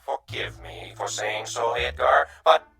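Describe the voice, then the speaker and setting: An actor playing a gentle, wise alien in a radio drama, speaking in formal, measured phrases. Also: male voice, mid-range voice, animated, over music, lightly acted. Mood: solemn, sincere. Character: gentle, wise alien stranded among humans.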